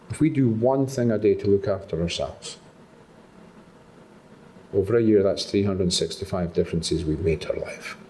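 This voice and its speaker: A man's voice: lowish voice, dark tone. A man from Scotland with a Scottish accent, giving a motivational self-care talk to a live audience at a patient summit and often checking in with rhetorical questions. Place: conference room with a live audience.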